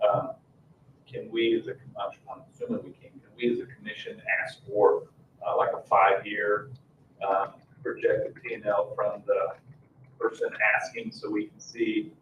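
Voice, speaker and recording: A man's voice: lowish voice, big pitch swings, moderate pace, a bit bright, slight background noise. A man on a commission asking questions informally at a public meeting, circling tentatively around his point. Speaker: commission member. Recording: echoey, distant, muffled meeting recording.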